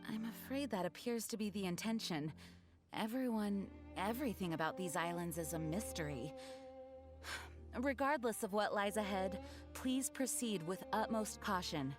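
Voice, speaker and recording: A woman voicing a dutiful young female knight commander in an anime game, measured, formal and earnest. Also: mid-range voice, lively intonation, music in the background, lightly acted. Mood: cautious.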